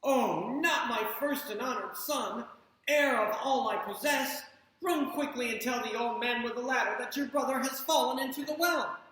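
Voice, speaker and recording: A woman's voice: medium pitch, animated, slow, a bit bright. A woman voicing a stern, proud mother who scolds in formal speech. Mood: stern scolding. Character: stern, proud mother, impatient with her younger son. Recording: echoey room.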